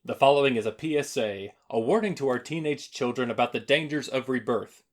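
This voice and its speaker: A man's voice: medium pitch, lively intonation, a little fast. A man acts as host, reading a scripted introduction in announcer style. His voice sits in the middle of the male range, and he presents each item to the listeners in turn. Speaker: festival host.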